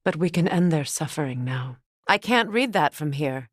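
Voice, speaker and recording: A woman playing a proud, guarded warrior goddess in a video game, speaking in a measured, commanding, solemn manner. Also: deep voice, expressive, dry recording, studio quality. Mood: sincere, subdued. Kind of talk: dramatic video game acting.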